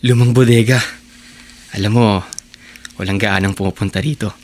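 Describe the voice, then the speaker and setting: An actor playing a lazy, pushy high school boyfriend in a Filipino radio drama, with a Filipino accent. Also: male voice, fairly even intonation, moderate pace, lightly acted. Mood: coaxing, pushy.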